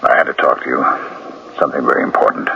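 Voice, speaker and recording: A man's voice: very deep voice, fast talker, vocal fry. An actor playing a troubled male novelist in a 1970s radio drama, formal and earnest, guarded yet anguished. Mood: pleading, torn. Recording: muffled, noisy old radio recording.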